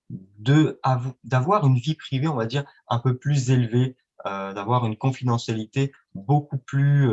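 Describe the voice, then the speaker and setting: A man's voice: mid-range voice, highs cut off. Didactic man. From France or Italy, Franco-Italian. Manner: didactic, wordy, rhetorical questions. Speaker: guest, marketing agency representative. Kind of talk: explanatory interview talk, promotional.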